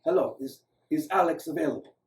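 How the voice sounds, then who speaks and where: Man voicing a gentle Eastern European older doctor, echoey. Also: a little high, brisk, slight background noise, lightly acted. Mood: polite. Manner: gentle, courteous, hesitant. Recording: roomy, echoing, distant microphone.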